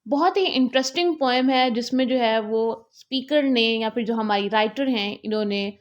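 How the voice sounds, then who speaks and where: A woman giving a literature lecture on her channel. She switches between Hindi and English, uses many fillers and slows down when she reads out quoted lines. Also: on the high side, dull audio. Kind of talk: lecture explaining a poem.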